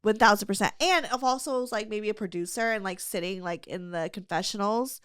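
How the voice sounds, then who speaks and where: A woman's voice: medium pitch, brisk, fairly bright tone, clear, resonant tone, no echo. Host, woman, playful and eager, casual swearing, dry close sound. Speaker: podcast host. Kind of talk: casual chat.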